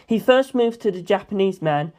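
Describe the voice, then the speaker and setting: A woman reading a first-person novel aloud as an amateur audiobook narrator, with expressive delivery. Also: medium pitch, moderate pace.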